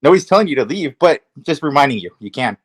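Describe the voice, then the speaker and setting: A man's voice: fairly high pitch, animated, quick pace, no echo, studio quality. A man on a livestream panel giving casual, opinionated commentary, restating his points as he goes.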